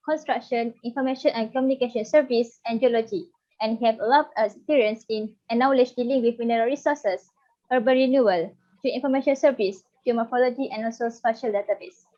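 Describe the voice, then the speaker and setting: A woman's voice: fairly high pitch, fast talker, mellow. Upbeat woman moderating a webinar. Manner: upbeat, reads speaker bios. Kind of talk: formal introductions. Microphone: video call. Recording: lo-fi video call audio.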